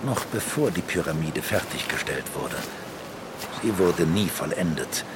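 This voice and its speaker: An actor playing a grave, cryptic ancient magician in a fantasy audio drama. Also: male voice, low-pitched, expressive, a little slow, heavy background noise. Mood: solemn, urgent.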